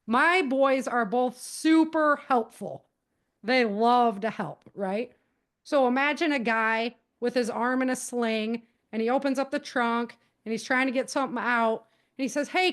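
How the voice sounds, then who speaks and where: A middle-aged woman speaking as an interview guest, giving conversational advice emphatically, repeating key points and acting out example dialogue. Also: on the high side. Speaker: podcast guest, author and self-defense advocate.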